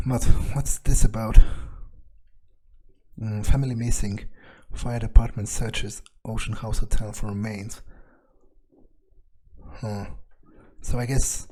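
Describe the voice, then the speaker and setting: A man's voice: lowish voice, little pitch movement, slow pace, long pauses, warm tone, breathy edge. A man doing casual, foul-mouthed in-character game commentary, muttering his thoughts aloud as he plays. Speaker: gaming streamer, let's player. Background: video game music.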